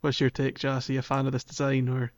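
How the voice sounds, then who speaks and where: A man's voice: mid-range voice, monotone, fast, dull audio. A man hosting a casual gaming podcast, talking in an enthusiastic, geeky way. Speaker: podcast host. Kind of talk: casual game discussion podcast.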